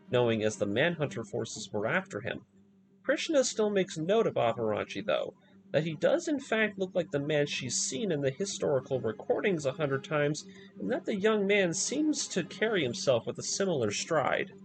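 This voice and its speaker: A man's voice: a little high, low-quality recording. A man narrating a scripted video review, moving between commentary and story recap.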